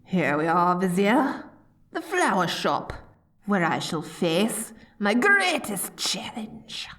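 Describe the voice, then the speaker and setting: Woman playing a theatrical, dorky evil queen, animated. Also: mid-range voice, slow, dry recording, soft background music, exaggerated voice. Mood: dramatic, nervous. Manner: grandiose proclamations breaking into flustered stammering. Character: dorky, theatrical evil queen, haughty yet secretly smitten. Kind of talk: scripted ASMR roleplay acting.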